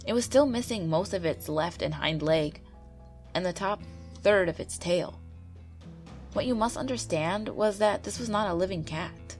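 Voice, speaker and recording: A woman's voice: mid-range voice, moderate pace. Stuffy-nosed woman telling ghost stories. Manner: gentle, earnest storytelling. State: stuffy nose from a cold. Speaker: YouTube ghost story narrator. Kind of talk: reading true ghost stories aloud. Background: faint background music.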